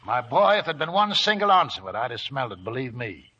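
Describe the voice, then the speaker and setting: An actor playing a shrewd old gold prospector who chuckles through a folksy drawl, in an old radio play. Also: male voice, medium pitch, very animated, fast, pushed and bright, breathy edge, heavily acted. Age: older. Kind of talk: 1940s radio acting. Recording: dull lo-fi old radio recording.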